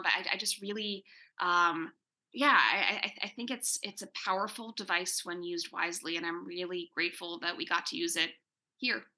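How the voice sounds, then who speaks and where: A woman speaking as a writer on a discussion panel, thoughtfully, often halting and repeating words as she forms her thoughts. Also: mid-range voice, bright, forceful tone. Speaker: comics writer and panel guest.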